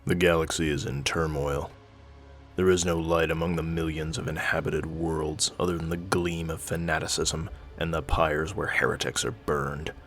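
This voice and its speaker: A man's voice: very deep voice, steady tone, moderate pace, fairly bright tone, soft background music. A man giving a grave, theatrical narration of scripted horror stories.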